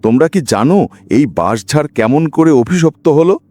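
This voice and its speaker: A man voicing a grave old village uncle in a horror story, speaking slowly with ominous warning. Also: on the high side, lively intonation, dry recording, close to an everyday voice. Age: older. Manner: slow, grave, hushed storytelling.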